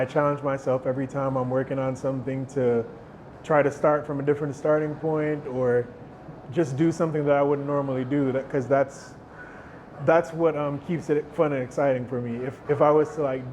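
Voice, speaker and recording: A young man, a musician, giving relaxed, thoughtful interview answers and often restarting his words. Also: medium pitch, slight background noise. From Jamaica. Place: outdoor stage.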